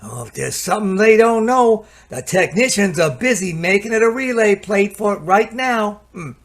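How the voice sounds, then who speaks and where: A man's voice: sits high, expressive, moderate pace, fairly bright tone, heavily acted. An actor playing a folksy, patient gadget salesman in a radio play, chatty and colloquial, hemming and hawing. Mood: confident explaining.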